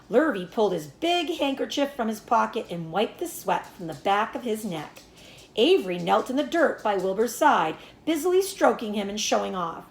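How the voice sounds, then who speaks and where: A woman reading a children's storybook aloud as a teacher would, in expressive narration. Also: mid-range voice, roomy sound.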